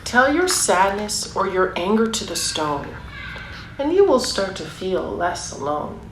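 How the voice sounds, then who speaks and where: A woman's voice: mid-range voice, sing-song, measured pace. A woman reading a picture book aloud for young children, with encouraging, chatty asides and questions to her listeners. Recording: roomy echo, clear background noise.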